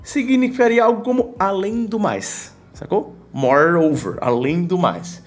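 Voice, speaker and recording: A man's voice: high-pitched, unhurried. A Brazilian man with a Brazilian Portuguese accent teaching English to pilots in a casual, explanatory way. He asks and answers his own questions and uses slang directly.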